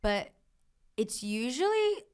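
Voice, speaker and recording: A woman co-hosting a podcast in casual, animated chat, laughing a lot as she talks. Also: on the high side, slow pace, clean tone. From New York. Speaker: podcast co-host.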